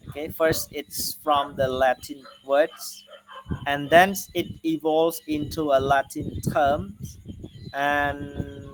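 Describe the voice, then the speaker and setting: Khmer-accented man, online lecturer, slow halting delivery full of fillers, slightly raised pitch. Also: a little hiss or hum, low-quality recording. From Cambodia.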